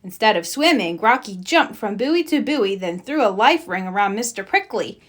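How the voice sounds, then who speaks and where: A woman narrating a children's storybook in an animated read-aloud style. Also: mid-range voice, normal speed. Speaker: storybook reader.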